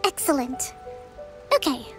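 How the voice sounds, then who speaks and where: A woman voicing a composed, shrewd young priestess and strategist in a video game, speaking in a measured, formal, articulate way. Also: high voice, expressive, music in the background. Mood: calm, assured. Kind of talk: video game character dialogue.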